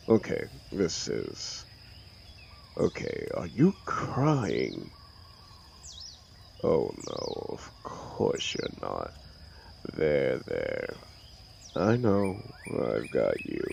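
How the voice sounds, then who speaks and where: A man playing a brooding telepathic villain with a gentle protective side, in an intimate audio drama, speaking theatrically in a gravelly, sometimes breathy and hushed voice. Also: a bass voice, a little hiss or hum, exaggerated voice. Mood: awkward, tender. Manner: slow, theatrical, hushed, commanding yet soothing. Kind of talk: audio roleplay drama, intimate comforting monologue.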